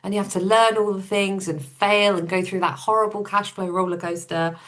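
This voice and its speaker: A woman's voice: medium pitch, steady tone, a little fast, fairly bright tone. A woman guest on a podcast, telling her own story in a casual, matter-of-fact, informal way.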